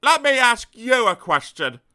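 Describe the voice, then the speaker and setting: A man voicing a muddled, gentle little man who speaks in a raised, bright voice with hesitant fillers. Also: very high-pitched, sing-song, unhurried, clean tone, pitched above the performer's own voice, exaggerated voice. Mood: cheeky. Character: muddled gentle man, Mister Dizzy, growing sly.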